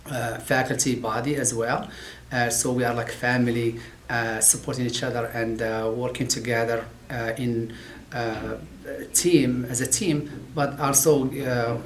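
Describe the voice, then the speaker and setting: A man's voice: medium pitch, fairly even intonation. Brief: middle-aged non-native English man, professor in an interview, hesitant with constant "uh"s, echoey room, light hiss. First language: not English. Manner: halting, many "uh" fillers and restarts. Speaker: occupational therapy professor. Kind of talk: interview talk about his field.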